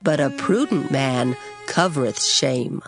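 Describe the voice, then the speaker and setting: A woman narrating an audio Bible as a dramatized scripture reading, in a solemn, formal, measured way. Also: very deep voice, lively intonation. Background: background music.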